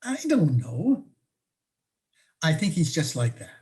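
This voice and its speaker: A woman's voice: low voice, big pitch swings, slow pace, a little mellow, exaggerated voice. A woman acting a prying, incredulous close friend in a conversational comic one-act, with teasing, probing questions.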